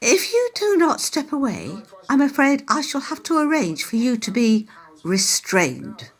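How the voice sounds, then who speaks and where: Woman as rigid female care worker, husky, highish, animated pitch, officious, humourless, radio play. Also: measured pace, heavily acted. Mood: defiant. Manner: stiff, officious, over-formal. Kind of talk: amateur radio play acting.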